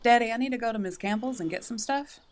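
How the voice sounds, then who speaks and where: A woman's voice: a little high, fast, close to an everyday voice. A woman playing a blunt, self-reliant backwoods daughter with a rural Southern US accent in a radio drama. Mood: matter-of-fact.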